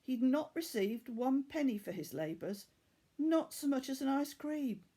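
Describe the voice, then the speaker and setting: A woman's voice: a little high, mellow. A woman reading a children's novel aloud as a teacher would to a class, in scripted storybook narration. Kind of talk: audiobook-style scripted narration.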